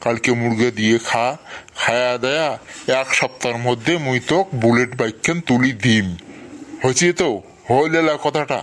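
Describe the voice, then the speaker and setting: A man's voice: mid-range voice, moderate pace, fairly bright tone, slight background noise, lightly acted. A man voicing a pleading, exasperated village father in a comedy cartoon, with a North Bengal accent. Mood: placating. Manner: coaxing, exasperated.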